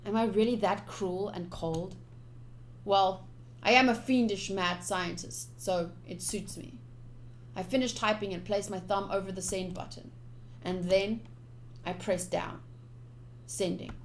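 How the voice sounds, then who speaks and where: A woman streamer doing a live let's-play of a visual novel, reading text aloud and reacting in an expressive, chatty way. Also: medium pitch, a little slow, slight background noise.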